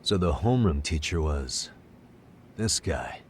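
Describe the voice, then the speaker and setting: An actor playing a calm, sharp detective in an English game dub, measured and thoughtful as he reasons through a case. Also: extremely low pitch, a little hiss or hum, heavily acted. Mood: analytical. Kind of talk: English video game dub cutscene acting.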